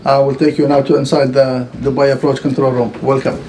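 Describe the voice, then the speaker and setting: A man giving a formal explanatory tour as head of a control room, halting and agreeable. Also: medium pitch, level tone, very noisy. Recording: background chatter behind voice.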